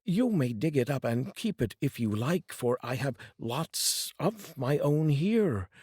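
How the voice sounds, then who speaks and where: A man's voice: mid-range voice, big pitch swings, slow, a little mellow, husky, no echo, studio quality, voice pushed up, full character voice. A man voicing a generous, easygoing peasant brother in a folk tale, with a casual, open-handed manner.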